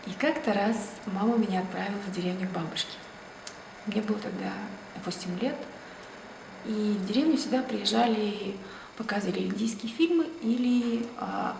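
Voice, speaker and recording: A woman from Russia in an interview, answering reflectively and unhurriedly, often trailing off into a soft, breathy tone. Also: mid-range voice. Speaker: ballet dancer, interviewee. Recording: roomy, distant mic, noisy background.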